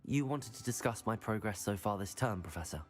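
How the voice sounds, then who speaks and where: A man's voice: fairly low pitch, lively intonation, a little fast, lightly acted. Man acting a composed young male wizard student. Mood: polite. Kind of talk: video game cutscene acting.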